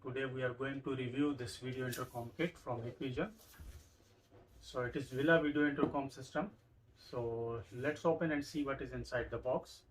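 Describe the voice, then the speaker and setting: A man's voice: mid-range voice, steady tone, moderate pace, a little hiss or hum, poor audio. A man hosting a tech review, explaining an unboxing step by step in simple, repetitive phrases. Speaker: tech review channel host.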